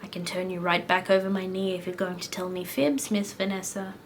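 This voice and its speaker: A woman reading the lines of a gentle, caring male doctor in a soft, low, soothing tone. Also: little pitch movement, fairly quick, slight background noise, lightly acted.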